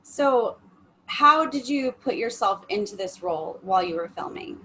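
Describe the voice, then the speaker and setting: A woman's voice: medium pitch, animated, moderate pace, sharp tone, slight background noise, poor audio. A woman working as an editor, interviewing an actress with polite, enthusiastic questions.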